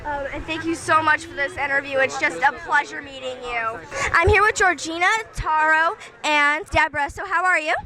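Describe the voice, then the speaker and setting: A woman's voice: sits high, normal speed, few pauses. A teenage girl interviewing filmmakers as a kid film critic, enthusiastic and full of gushing praise. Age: teens. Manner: enthusiastic, gushing, filler-heavy. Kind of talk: red-carpet interview.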